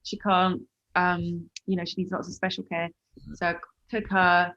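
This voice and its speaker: A woman's voice: lowish voice, flat delivery, moderate pace, fairly bright tone, muffled recording. A woman from Switzerland, a musician guest chatting casually and bubbly in an interview.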